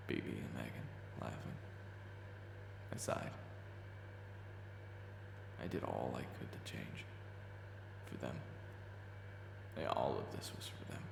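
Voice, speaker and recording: A man playing a weary boss with a buried temper in a dramatic audio roleplay, gravelly and brooding. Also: a bass voice, animated, a little slow, long pauses, echoey room, background noise, full character voice. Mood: brooding, resigned. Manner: brooding when narrating, exasperated in dialogue. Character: weary reformed hot-tempered man, a soft-pushover boss. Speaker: narrator and lead character.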